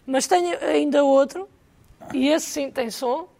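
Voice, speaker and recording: A woman on a comedy panel, telling an anecdote with lively acted-out dialogue. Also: sits high, a little slow, gravelly. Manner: animated storyteller who acts out dialogue. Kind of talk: casual comedy panel chat.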